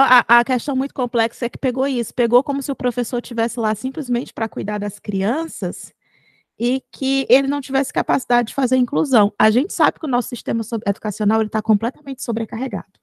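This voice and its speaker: A woman's voice: a little high, dry recording, studio quality. A Brazilian woman co-hosting a podcast, arguing her point in an earnest, emphatic way. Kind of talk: casual podcast discussion.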